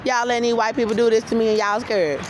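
A woman's voice: on the high side, fairly even intonation, quick pace. An American woman in her thirties talking insistently and emphatically into a handheld phone as she walks, often repeating her phrases. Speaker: vlogger. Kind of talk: livestream-style monologue to camera while walking. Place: outdoors on a city street at night, walking. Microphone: handheld phone at arm's length. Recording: noisy phone recording on city street. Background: traffic and street noise.

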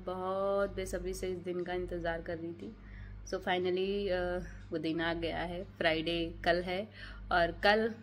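A woman in her thirties vlogging to her phone at home, hoarse from a cold and talking in a tired, chatty way with many fillers. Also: lowish voice, slight background noise. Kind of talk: casual vlog talk to camera. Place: home bedroom, indoors. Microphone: phone held at arm's length.

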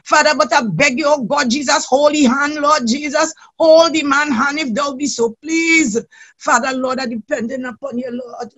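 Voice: sits high, moderate pace, a bit bright. A worship leader praying aloud in a fervent, impassioned, pleading way, calling on God again and again.

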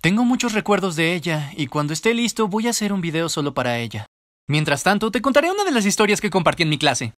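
A man narrating an animated storytime video in an expressive, comedic and self-deprecating way. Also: high-pitched, fast, no echo, clean studio sound.

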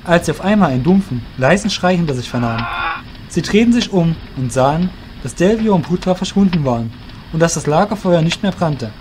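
A man's voice: fairly high pitch, lively intonation, brisk, noisy background. Male narrator of a fantasy story. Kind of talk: scripted fantasy audio drama narration.

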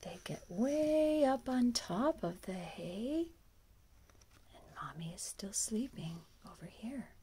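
A woman with a high, breathy voice narrates a picture book for young children. She takes her time and swoops up and down, often hushing her voice as if full of wonder. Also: lively intonation, takes it slowly, a little hiss or hum. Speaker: storyteller and children's music teacher.

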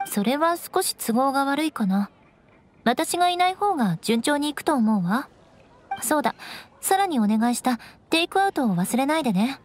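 A woman's voice: high-pitched, sing-song, moderate pace, slight background noise. An actress playing a proud, composed young female knight in a Japanese game, haughty with dry teasing. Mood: composed, amused. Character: proud, cool-headed young female knight shunned as noble outcast. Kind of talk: Japanese anime game voice acting. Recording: studio voice over game music.

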